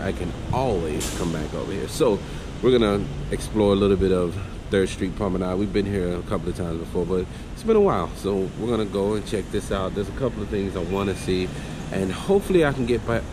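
Congested deep-voiced man vlogging outdoors. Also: brisk. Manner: easygoing, chatty, frequent fillers. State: congested from a cold. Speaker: host. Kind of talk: casual walking vlog. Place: outdoors at a busy street market. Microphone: action camera held at arm's length. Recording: loud street noise.

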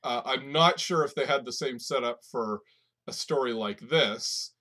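A man's voice: medium pitch, moderate pace, bright, forceful tone. A man co-hosting a podcast, chatting casually about books in loose, informal phrasing. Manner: informal, loose phrasing with "like", "right?" and "you know". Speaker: podcast co-host. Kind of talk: casual conversational book discussion.

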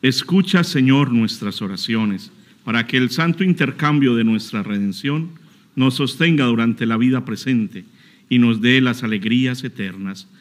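A man of ordinary pitch leads the closing prayers of a Mass at an outdoor shrine altar. He recites in a solemn, measured prayer cadence, picked up by an altar microphone. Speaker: priest.

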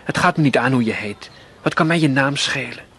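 Male reader voicing a gruff old stall keeper for a storybook radio reading, voice lifted higher and louder than his narration, hushed and urging by turns. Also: animated, fairly quick, a little hiss or hum, natural voice.

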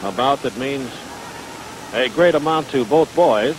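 A man's voice: medium pitch, unhurried, noisy background. An American man calling boxing in the style of 1950s live television, on a vintage broadcast recording. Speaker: boxing commentator. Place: ringside at an indoor stadium.

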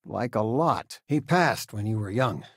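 Doting middle-aged game dad: folksy, lightly husky, lively intonation, close dry sound. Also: male voice, lowish voice, a little slow, no room sound, heavily acted. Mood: warm, affectionate. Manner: folksy, affectionate, conversational. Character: warm, doting middle-aged father, gently joking. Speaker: video game voice actor. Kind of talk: natural, conversational game character dialogue.

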